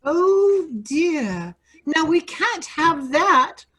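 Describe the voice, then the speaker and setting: A woman's voice: very high voice, big pitch swings, extremely slow, fairly bright tone, exaggerated voice. A woman playing a doting, scheming fairy godmother in a staged reading, cooing and dramatic. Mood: fussing. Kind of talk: staged reading of children's theater.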